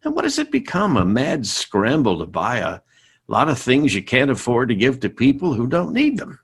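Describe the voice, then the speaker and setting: Exasperated sitcom dad, widely swinging intonation. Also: male voice, medium pitch, wildly swooping pitch, normal speed, gravelly, breathy edge, heavily acted. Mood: wistful indignation. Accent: American. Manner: stammering, indignant, sarcastic. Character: exasperated middle-aged father nostalgic for simple Christmases. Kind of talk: live radio sitcom acting.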